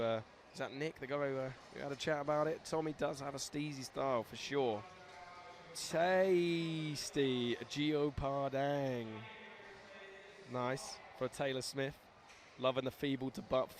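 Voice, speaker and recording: A young man hosting live commentary at a scooter event, excitable and laughing, reading out viewer shout-outs. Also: mid-range voice, background noise.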